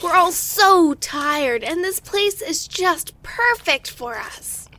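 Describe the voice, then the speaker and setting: Very high-pitched, eager little creature kid. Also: female voice, lively intonation, slow, sharp tone, no echo, full character voice. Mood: pleading. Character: tired little Zoombini child, eager and pleading. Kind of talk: amateur audio drama acting.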